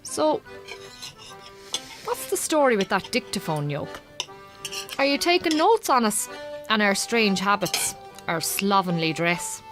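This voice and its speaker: Chatty Irish farmer's wife. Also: female voice, medium pitch, very animated, measured pace, over music. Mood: curious. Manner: colloquial, chatty, frank. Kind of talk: naturalistic radio drama acting.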